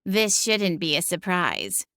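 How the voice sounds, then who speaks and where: Audiobook role: woman playing aloof female cat, deadpan snark, superior air, tight dry sound. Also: fairly low pitch, takes it slowly, no echo, full character voice. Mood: snide. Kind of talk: audiobook character acting.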